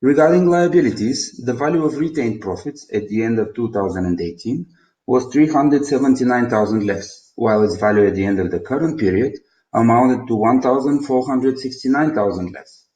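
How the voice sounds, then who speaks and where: A man's voice: medium pitch, fairly quick, darkish tone. A man with a Bulgarian accent formally reads out a scripted financial presentation in a roomy, echoing recording. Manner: formal, reading out figures. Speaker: company presenter.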